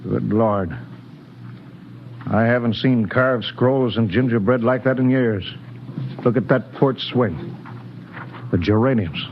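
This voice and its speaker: A stern, logical spaceship captain. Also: male voice, mid-range voice. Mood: wondering. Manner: clipped, commanding, halting when baffled. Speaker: ship captain. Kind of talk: 1950s radio drama acting. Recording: dull 1950s radio broadcast, faint hiss.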